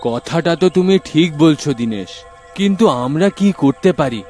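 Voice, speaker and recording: A man's voice: on the high side, lively intonation, a little slow, dark tone, soft background music. A man voicing a brave, determined young village man who talks plainly in a cartoon dub. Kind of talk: cartoon dubbing.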